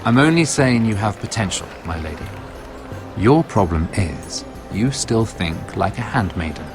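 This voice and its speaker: A man's voice: lowish voice, animated, unhurried. A man playing a smug, scheming court lord in a video game drama, haughty and wry with courtly phrasing. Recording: music behind the voice.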